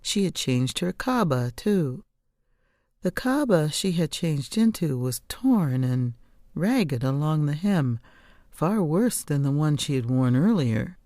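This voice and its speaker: A woman narrates first-person fiction for an audiobook. Her voice is low and dark, in a very dry recording with no room sound. The reading is scripted storytelling. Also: big pitch swings, slow pace, no echo.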